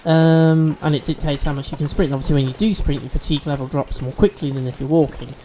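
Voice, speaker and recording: A man's voice: fairly high pitch, quick pace, warm tone, slight background noise. A man hosting a let's-play video, chatting and explaining game mechanics as he plays, a bit sniffly from allergies. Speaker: gaming video host.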